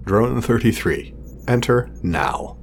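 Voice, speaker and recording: A man playing a proud, exacting craftsman of android companions in an audio drama, speaking in a formal, measured, condescending way. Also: medium pitch, animated. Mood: proud, instructive. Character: pompous male craftsman of artificial companion drones, exacting, proud. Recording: clear background noise, sci-fi lab ambience.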